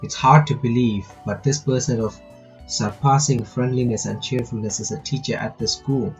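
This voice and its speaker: A man giving casual playthrough commentary and reading game text aloud, halting and often repeating his words. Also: mid-range voice, steady tone, lo-fi sound, soft background music. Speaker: gaming reaction host.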